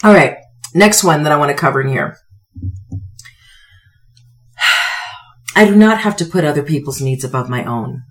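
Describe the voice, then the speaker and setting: A woman in her forties with a slightly hoarse voice, giving an emphatic, conversational motivational talk as a podcast host, with pointed pauses and rhetorical questions. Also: sits low, lively intonation. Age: 40s. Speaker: podcast host, self-help coach.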